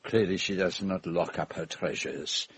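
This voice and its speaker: An actor playing a shrewd, self-assured Belgian detective with a French-speaking accent and formal, precise, theatrical phrasing in a radio drama. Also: male voice, low-pitched, steady tone, normal speed, breathy edge. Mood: teasing, insistent.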